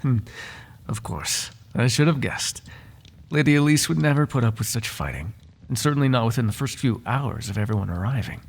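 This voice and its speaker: A man's voice: medium pitch, expressive, fairly quick, gravelly, husky. A man playing a devoted, flirtatious butler in an intimate ASMR roleplay, speaking in formal, genteel phrases with playful teasing. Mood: curious, gossipy. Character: devoted, flirtatious, gossip-loving male butler to a noble.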